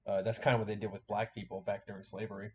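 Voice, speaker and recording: A man's voice: lowish voice, little pitch movement, rapid-fire, lo-fi sound, slight background noise. A man giving casual, profane reaction commentary in a blunt, joking, rambling manner.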